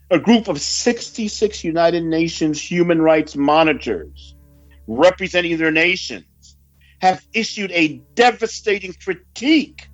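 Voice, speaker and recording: A man preaching and reading out a report in a solemn, emphatic oratorical manner with dramatic pauses. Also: a little high. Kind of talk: sermon mixed with read-out news report. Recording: dull lo-fi recording with background music.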